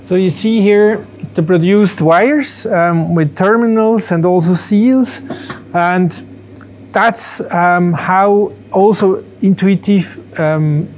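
Halting, higher-voiced executive man. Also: a little mellow, a little hiss or hum. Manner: halting, many restarts and fillers. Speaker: company CEO. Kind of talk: unscripted corporate interview and demo. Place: large company showroom with machines.

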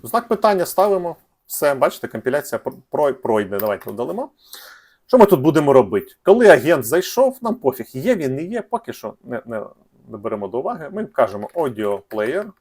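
A man's voice: mid-range voice, very animated, fast talker, darkish tone, breathy edge. A man hosting a casual coding tutorial, speaking in slangy, informal language and thinking aloud with hesitations. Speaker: programming tutorial host.

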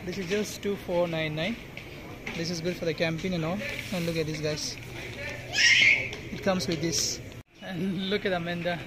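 A man in his thirties narrating a casual vlog as he walks through a shopping mall, pointing things out to viewers with a phone camera. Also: high-pitched. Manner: chatty tour-guide tone, points things out to viewers. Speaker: vlogger. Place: large indoor shopping mall. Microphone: handheld phone camera. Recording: noisy mall background.

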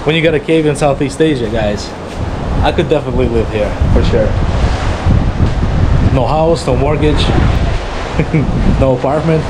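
Male travel vlogger, thirties, upbeat and laughing, action-cam audio buried in gusting wind. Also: mid-range voice. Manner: excitable, laughing, addresses viewers as guys. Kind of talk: casual travel vlog. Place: outdoors on a beach and wading in the sea. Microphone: action camera held at arm's length. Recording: loud wind noise on camera mic. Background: wind and waves.

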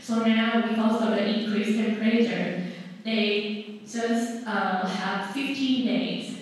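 A woman's voice: medium pitch, slow pace. A young woman with a Thai accent on a conference panel, chatting in a friendly, hesitant way with many fillers, holding a handheld mic. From Thailand. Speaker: panelist, hydrologist. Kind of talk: staged panel chat. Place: large conference hall on stage. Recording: echoey hall from far off.

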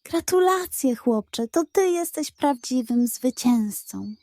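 A woman's voice: high-pitched, lively intonation, slow pace, mellow, no room sound, full character voice. A woman voicing a proud male town mayor in a children's Western story, formal and declamatory. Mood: congratulating.

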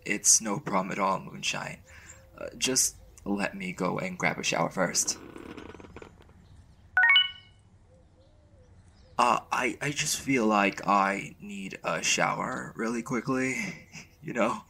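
Guy acting as a smitten college boyfriend, really gravelly, slow and halting, soft romantic asmr roleplay. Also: lowish voice, full character voice. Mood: nervous. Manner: soft, halting, stammering when flustered.

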